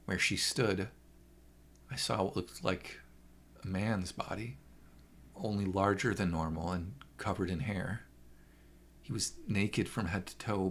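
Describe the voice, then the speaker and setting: A man's voice: low-pitched, fairly bright tone, a little hiss or hum. A man narrating a first-person horror story with an intense, theatrical delivery.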